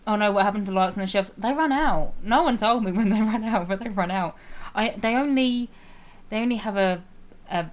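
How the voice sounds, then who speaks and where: A woman streaming a game, chatting casually with viewers and laughing often, her voice slightly raspy. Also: medium pitch, a little fast. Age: adult with a school-age child. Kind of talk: casual live-stream chat while playing.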